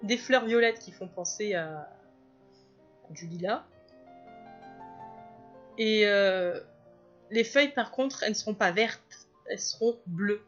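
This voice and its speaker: A woman's voice: mid-range voice, takes it slowly, pauses often, dull audio, soft background music. Chatty woman narrating her drawing. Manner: chatty, rambling, laughs often. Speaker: illustrator and video host. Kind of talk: casual drawing commentary.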